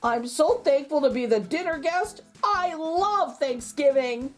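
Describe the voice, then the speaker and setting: A woman voicing an excitable, joyful turkey in a children's storybook, exclaiming and gobbling with innocent delight. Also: high-pitched, faint music behind, pitched above the performer's own voice. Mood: thankful. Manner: exclaiming, whooping, drawn-out gobbles.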